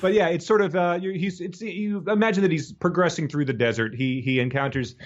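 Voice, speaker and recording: Dull-sounding man, wry and halting. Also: on the high side. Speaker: podcast co-host. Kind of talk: casual chat, comic book discussion.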